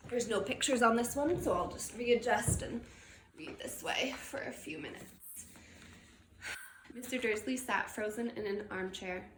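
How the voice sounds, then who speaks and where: A woman giving an expressive read-aloud narration of a children's novel. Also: fairly high pitch, unhurried. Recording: roomy echo with faint background noise.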